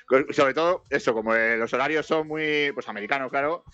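A man's voice: medium pitch, fairly quick, bright, forceful tone, highs cut off. A man from Spain with a Spanish accent, chatting casually and colloquially as a football commentator, often repeating himself. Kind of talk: casual match chat and live commentary.

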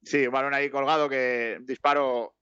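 A man's voice: medium pitch, level tone, moderate pace, bright, forceful tone, smooth, dry recording, lo-fi sound. A man chatting casually about football as he watches a match, rambling and repeating himself.

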